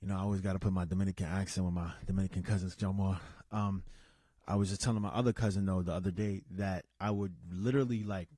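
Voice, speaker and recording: A man with a fairly low voice hosting a relaxed, unscripted podcast. He talks in a loose, meandering way, doubling back on his words and throwing in shout-outs to listeners. The sound is dry and close, with no room echo. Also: fast. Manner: rambling and conversational, with many restarts and asides. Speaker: podcast host. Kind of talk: casual unscripted podcast chat.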